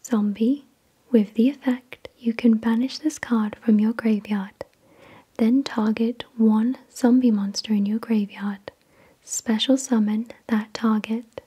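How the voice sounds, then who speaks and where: A woman whispering in a breathy, unvoiced voice, reading in a gentle, measured ASMR style. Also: a little high, steady tone. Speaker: ASMR host. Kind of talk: whispered ASMR card unboxing, scripted reading.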